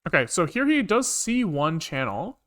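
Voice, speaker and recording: Higher-pitched man thinking aloud while coding. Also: big pitch swings, pushed and bright. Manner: halting, muttering to himself while working. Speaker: programming livestreamer. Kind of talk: casual live coding commentary, thinking aloud.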